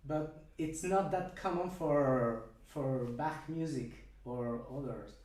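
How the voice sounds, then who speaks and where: A man from Venezuela with a Venezuelan Spanish accent, a native Spanish speaker answering interview questions haltingly as he searches for words. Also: a little high, darkish tone. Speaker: interview guest, church organist and singer. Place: video call from Buenos Aires, reverberant space. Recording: very echoey, distant from mic.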